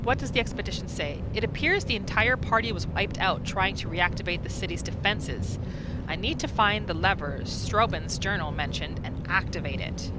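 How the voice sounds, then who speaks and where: A woman giving casual, animated commentary as she plays a video game, often talking to the characters. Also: medium pitch, very noisy. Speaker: gaming video host. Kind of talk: casual game playthrough commentary with journal read-alouds. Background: game music.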